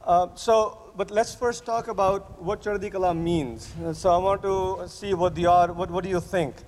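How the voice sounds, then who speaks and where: Male physician as lecturer, slightly high voice, halting delivery with restarts, long hall reverb, faint hiss. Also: fairly even intonation, darkish tone. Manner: hesitant, many restarts, repeats audience answers. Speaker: physician giving a lecture at a camp. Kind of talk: interactive lecture with audience questions.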